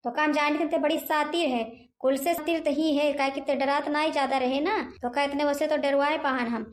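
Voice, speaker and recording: A woman's voice: sits high, fairly even intonation, brisk, close to an everyday voice. A woman voicing a bullying witch ghost in a cartoon comedy, threatening and taunting in an Awadhi accent. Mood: threatening, gloating. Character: bullying witch ghost claiming her haunted mansion.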